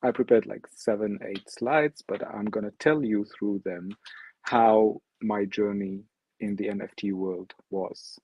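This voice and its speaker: Low-voiced man, native Arabic speaker, hesitant. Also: steady tone, unhurried, darkish tone, breathy edge, dry recording. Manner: hesitant, frequent ums and restarts. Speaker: guest speaker presenting his art project. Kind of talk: online talk with slides. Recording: dry, dull lo-fi audio.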